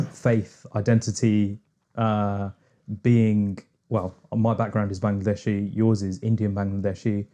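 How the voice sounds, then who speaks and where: A man's voice: on the low side, level tone, slow pace, mellow. A man from the UK, co-hosting a podcast and rambling with lots of filler words. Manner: rambling, full of fillers and hedges. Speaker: podcast co-host. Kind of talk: casual chat.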